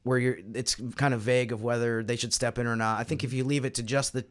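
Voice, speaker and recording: American man, medium pitch, podcast panelist, casual sports talk, explanatory. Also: little pitch movement, fast talker, clear, resonant tone. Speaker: podcast panelist covering basketball.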